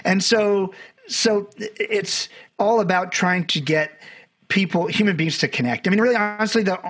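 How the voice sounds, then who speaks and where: A man's voice: sits high, moderate pace, fairly bright tone, breathy edge. A man from Barbados, a documentary filmmaker, talking as a guest on a podcast and telling stories in a rambling, self-correcting way. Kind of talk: conversational podcast interview.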